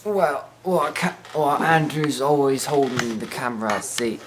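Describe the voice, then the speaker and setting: A man's voice: medium pitch, unhurried, background noise. A teenage boy talking in a put-on British accent, rambling hesitantly through a joking mock interview with many ums and restarts.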